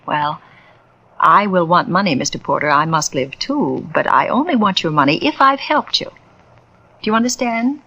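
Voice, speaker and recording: An actress playing a persuasive spiritualist medium who narrates, intimate and theatrical, sometimes dropping to a whisper, in 1940s radio acting. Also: female voice, fairly low pitch, fairly bright tone, dull audio. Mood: coaxing.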